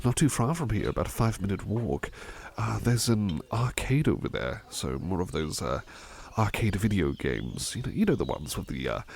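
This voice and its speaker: A man playing a polite, attentive butler in an ASMR roleplay, speaking in a hesitant, courteous, gently rambling way. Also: on the low side, vocal fry, slight background noise.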